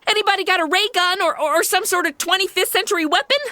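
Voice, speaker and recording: Sarcastic modern young woman, played by a woman, high and bright, quick wisecracks. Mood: snarky. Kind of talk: comedy audio drama acting.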